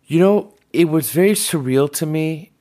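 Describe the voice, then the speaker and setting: A man in his fifties, born in Iran, telling his life story in a measured, reflective way during a podcast interview. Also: fairly high pitch, warm tone. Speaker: podcast host being interviewed. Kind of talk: conversational interview.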